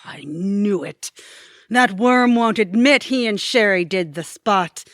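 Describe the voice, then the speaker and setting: A woman's voice: medium pitch, lively intonation, slow. A woman playing a domineering, scheming office boss in an audio comedy, with a sarcastic, cutting manner. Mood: bossy, scheming. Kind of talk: audio theatre comedy acting.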